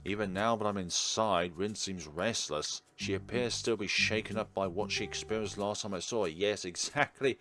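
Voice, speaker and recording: A man's voice: lowish voice, moderate pace, few pauses. A man doing let's play commentary, reading visual novel text aloud. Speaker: gaming YouTuber. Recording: game music behind the voice. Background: video game music.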